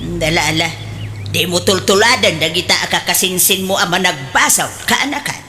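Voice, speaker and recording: A woman's voice: fairly low pitch, expressive, normal speed, pushed and bright. A woman playing a pious, nagging aunt in an Ilocano radio comedy drama, speaking emphatically with an Ilocano accent. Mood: dismayed, gossipy.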